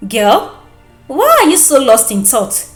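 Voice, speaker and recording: A scheming young Nigerian woman, as a female storyteller plays her, nosy and pushy in a folktale. She goads and gossips theatrically, with a highish voice that swoops up and down. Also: wildly swooping pitch, slow, roomy sound, soft background music, exaggerated voice. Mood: gossipy, admiring.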